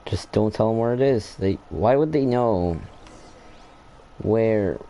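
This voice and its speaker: A man's voice: fairly low pitch, expressive, takes it slowly, dark tone. A man giving casual, offhand commentary while playing a video game. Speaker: gamer. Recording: faint background noise.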